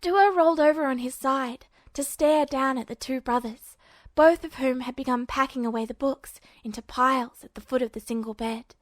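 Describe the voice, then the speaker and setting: A woman's voice: high voice, moderate pace, no room sound. A woman reading scripted fiction as the narrator of an amateur audiobook. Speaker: podfic narrator.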